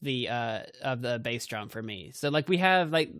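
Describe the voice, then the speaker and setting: A young man co-hosting a podcast in casual chat, with a higher-pitched voice, laughing often and leaning on "like" fillers. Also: animated, moderate pace, a bit bright, dry recording, clean studio sound. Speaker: podcast co-host. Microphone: home mic over voice chat.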